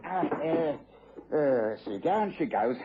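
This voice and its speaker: An actor voicing a cheery, matey working-class British workman, chatty and halting, in mid-century radio drama style. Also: on the high side, lively intonation, roomy sound, background noise, pitched above the performer's own voice. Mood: apologetic, matey. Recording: dull, lo-fi old radio recording.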